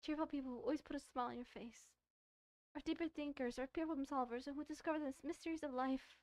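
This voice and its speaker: A woman's voice: high-pitched, steady tone, fast talker, a little mellow, no echo, studio quality, lightly acted. A woman voicing a shy, bookish, self-doubting schoolgirl from a visual novel, hesitant and earnest. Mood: wistful, shy.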